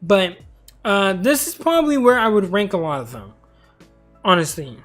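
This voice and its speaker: A young man with a notably high voice talks in a loose, rambling stream of thoughts, like someone chatting to viewers on a live stream. He sounds tired and his voice is starting to give out, and soft music plays quietly behind him. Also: big pitch swings, normal speed. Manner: rambling, talkative. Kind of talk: casual live-stream commentary.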